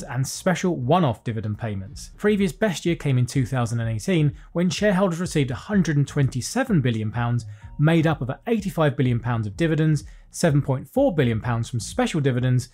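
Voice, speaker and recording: A British man in his thirties presenting a scripted finance news roundup from a small home studio, reading headlines briskly and dropping wry jokes between them. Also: mid-range voice, a little mellow. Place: small home studio with desk and lights, indoors.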